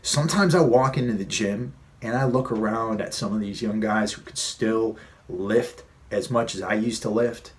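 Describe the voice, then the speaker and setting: A man's voice: on the low side, normal speed. A man in his late thirties hosting a fitness advice vlog, earnest and conversational, repeating key points for emphasis. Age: 30s. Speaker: fitness coach and vlog host.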